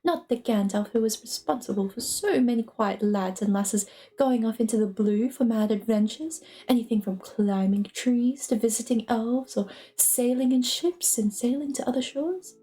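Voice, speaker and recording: A woman's voice: on the high side, normal speed, few pauses, mellow, lightly acted. A woman voicing a fussy, polite male hobbit who exclaims and gets easily flustered, in a storybook reading. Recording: music playing behind the voice.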